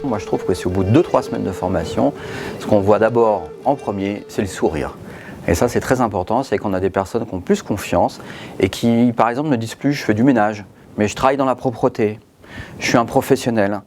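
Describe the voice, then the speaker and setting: A man's voice: lowish voice, fairly quick, a little mellow, soft background music. A man, a company manager, explaining fluently and with assurance in an interview.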